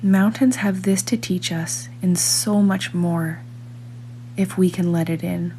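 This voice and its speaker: Low, mellow woman guiding a meditation. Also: slight background noise, poor audio. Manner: calm, measured, with long pauses. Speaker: meditation guide, reader. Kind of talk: guided meditation, scripted narration.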